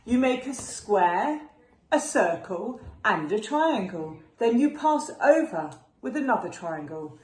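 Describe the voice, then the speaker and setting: A woman's voice: mid-range voice, big pitch swings, takes it slowly, darkish tone. A woman voicing an eager, curious young boy spider in a storybook, exclaiming and asking wide-eyed questions. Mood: proud, excited. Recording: roomy echo with faint background noise.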